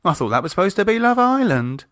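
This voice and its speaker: A man's voice: high-pitched, sing-song, quick pace, fairly bright tone, no room sound, clean studio sound, voice pushed up. A man voicing a brash, blunt working-class British woman with slangy talk in an audiobook. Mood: surprised, chatty. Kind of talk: audiobook character acting.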